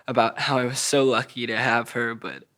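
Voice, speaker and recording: An actor playing a troubled, childlike young man recounting abuse in a halting, stammering way at a table read of an audio drama. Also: medium pitch, little pitch movement, sharp tone, close to an everyday voice. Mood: haltingly confessional.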